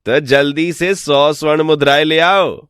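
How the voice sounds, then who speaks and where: A man voicing a sly, smooth-talking village swindler in an animated folk tale, persuasive, boastful and falsely respectful. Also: a little high, normal speed, no room sound, clean studio sound, natural voice.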